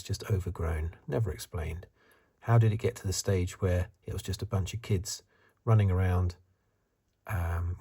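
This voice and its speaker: A man speaking in a soft, breathy, partly whispered ASMR voice, musing hesitantly as he gives a casual review. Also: low-pitched. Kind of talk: soft-spoken ASMR commentary.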